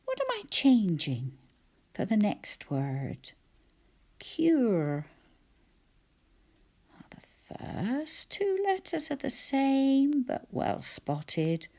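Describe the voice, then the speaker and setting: A woman with a soft, often whispered voice teaching spelling and sounding out letters one by one. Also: on the high side, huge pitch swings. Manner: slow, soft-spoken, sounds out each letter.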